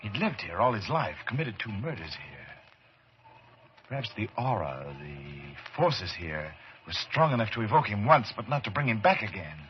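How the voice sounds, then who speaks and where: A man playing an obsessive, excitable ghost-hunting doctor in a radio horror drama, speaking in a theatrical, halting, fervent way. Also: fairly low pitch. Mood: brooding. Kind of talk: old time radio horror acting. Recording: dull, hissy old radio recording.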